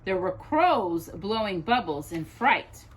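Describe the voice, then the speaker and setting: A woman reading rhyming children's poems aloud as a storytime host, in an expressive sing-song way, as if to a child. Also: mid-range voice, slow pace, slight background noise.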